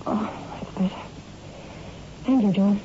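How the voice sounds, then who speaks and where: An actress playing a worried, pleading wife in a 1960s radio horror drama, earnest and dramatic. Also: female voice, mid-range voice, unhurried, natural voice. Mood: weary. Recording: muffled, noisy old radio recording.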